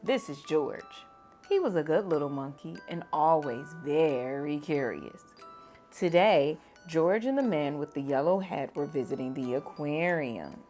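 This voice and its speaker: Lady reading a kids book out loud real slow, soft lowish voice, faint music behind. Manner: gentle, expressive, lively on exclamations. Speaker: storytime reader. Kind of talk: children's read-aloud.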